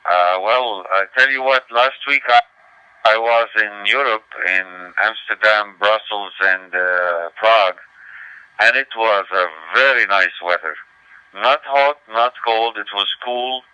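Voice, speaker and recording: A man's voice: on the low side, takes it slowly, sharp tone, clear, resonant tone, highs cut off. A man working as an amateur radio operator, chatting procedurally over a digital radio link, repeating confirmations. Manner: spells call signs, repeats confirmations. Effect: voice coming over digital radio. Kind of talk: ham radio contact, procedural casual chat.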